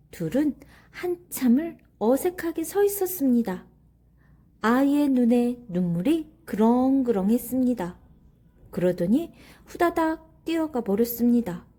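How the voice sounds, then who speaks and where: A woman's voice: on the high side, dark tone. A woman narrating a children's story expressively, in a careful, teacherly way.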